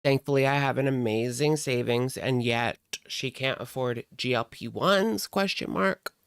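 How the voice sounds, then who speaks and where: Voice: mid-range voice, a little slow, gravelly, clean tone, no room sound, clean studio sound. A reaction channel host talking casually in a blunt, mocking and sardonic way while commenting on a video.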